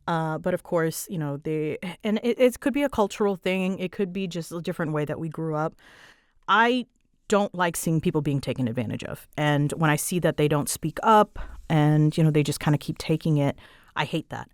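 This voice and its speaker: A woman's voice: sits low, normal speed, smooth, dry recording, clean studio sound. A woman host rambling casually to her audience, with a bouncy, dramatic, giggly and self-deprecating way of talking. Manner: animated, bouncy, dramatic storyteller delivery. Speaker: voice actress and host answering audience questions.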